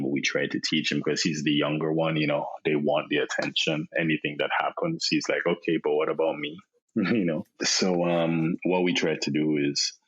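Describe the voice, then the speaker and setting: A Jamaican man in his thirties, a podcast guest, talking in a relaxed way with many fillers and repeated words over a remote call. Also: very deep voice, husky. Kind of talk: casual interview chat. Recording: dull lo-fi sound.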